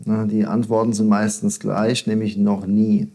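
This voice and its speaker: A male interviewer with a fairly low, mellow voice. He puts his questions in a relaxed, conversational way and backs the speaker with short affirmations. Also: a little slow. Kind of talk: local news interview.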